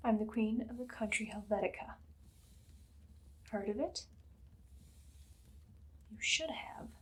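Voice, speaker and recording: A woman voicing an imperious, menacing evil queen in a whispered ASMR roleplay, speaking softly and deliberately with a condescending, commanding air. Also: on the high side. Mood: haughty. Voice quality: breathy, soft, much of it whispered.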